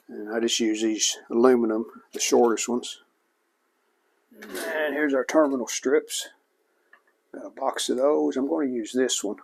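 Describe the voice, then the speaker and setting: A man giving a casual repair demonstration, plainspoken and unhurried, speaking in short phrases as he works. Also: medium pitch, vocal fry, a little mellow. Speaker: host of a vintage electronics repair channel.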